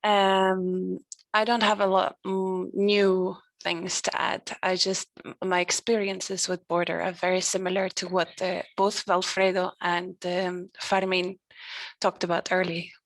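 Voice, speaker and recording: A woman from Norway speaking casually as a participant in an online panel discussion, with relaxed, hesitant talk. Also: fairly low pitch, measured pace, no room sound, rough recording. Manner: relaxed, conversational, hesitant fillers. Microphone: video call.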